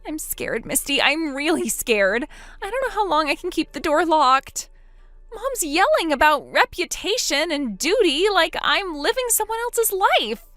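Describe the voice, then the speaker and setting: A woman's voice: extremely high pitch, very animated, moderate pace, fairly bright tone, no echo, faint music behind, pitched above the performer's own voice, heavily acted. A woman voicing a frightened young woman trapped before a forced wedding, pleading and panicky. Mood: scared.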